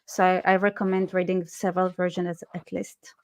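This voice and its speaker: A woman's voice: fairly low pitch, level tone, moderate pace. Sarcastic woman full of "like"s. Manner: sarcastic, many "like" fillers. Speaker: guest. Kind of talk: casual live-stream chat. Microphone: online call.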